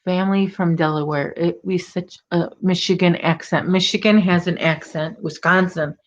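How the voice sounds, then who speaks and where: A woman's voice: fairly low pitch, steady tone, moderate pace, highs cut off. A woman with a Chicago accent hosting a casual crochet livestream from home, chatting and teasing while she works. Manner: chatty, reads viewer comments, teasing.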